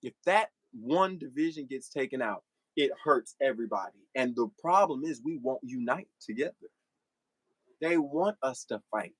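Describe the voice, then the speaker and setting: A man's voice: mid-range voice, expressive, moderate pace, breathy edge, dry recording, low-quality recording. A man giving an impassioned, preachy livestream monologue to his viewers, full of rhetorical questions and repeated phrases. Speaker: streamer addressing live chat.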